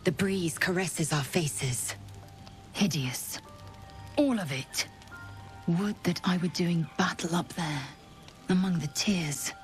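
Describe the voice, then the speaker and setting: A woman's voice: on the low side, slow, pauses often, soft background music. An actress playing a haughty, stern alien warrior woman in a fantasy game, with clipped, imperious, formal delivery. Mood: haughty, brooding.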